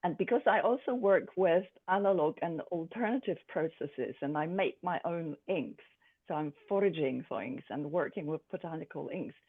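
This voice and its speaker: Tinny, halting artist describing her artwork. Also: mid-range voice, lively intonation, slightly rough. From Poland. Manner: thoughtful, halting, many fillers. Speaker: artist presenting own work. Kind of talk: art presentation to a group. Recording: tinny phone-like sound.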